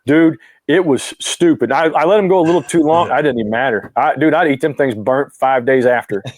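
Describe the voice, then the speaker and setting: Rambling deep-voiced man. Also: a little fast. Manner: rambling, laughing, folksy, says dude and y'all. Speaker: outdoors content creator guest. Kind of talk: casual interview over video call. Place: room at home with few lights. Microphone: clip-on wireless mic.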